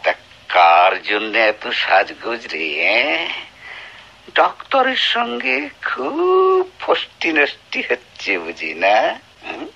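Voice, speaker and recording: A contemptuous, bullying husband in a radio play. His voice is very forceful and sharp, swinging widely between drawled sneers and snapped commands. The sound is slightly muffled and lo-fi. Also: mid-range voice, wildly swooping pitch, takes it slowly, gravelly, full character voice. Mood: sneering. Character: cruel, contemptuous husband with a violent temper. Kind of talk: Bengali radio drama acting. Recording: dull lo-fi radio drama recording.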